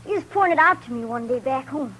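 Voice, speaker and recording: High-voiced runaway kid, cocky and eager, hick grammar, old radio western. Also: female voice, animated, a little fast, sharp tone, a little hiss or hum, rough recording. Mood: boastful. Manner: rustic grammar, eager and boastful. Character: boastful runaway farm boy, gun-crazy. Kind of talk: 1950s radio acting.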